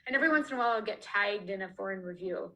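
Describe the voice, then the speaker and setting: Bright, chatty woman author on a call. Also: mid-range voice, lively intonation, rapid-fire, rough recording. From Canada. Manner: chatty, laughs often, many fillers and restarts. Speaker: author being interviewed. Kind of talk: casual conversational interview. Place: home, online video call. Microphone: video call.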